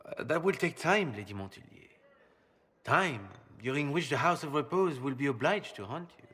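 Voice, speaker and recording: A courteous assassin count. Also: male voice, medium pitch, expressive, fairly bright tone, breathy edge. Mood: suave, composed. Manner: formal, polite, measured. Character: courteous male assassin posing as an Orlesian count. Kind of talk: video game dramatic acting.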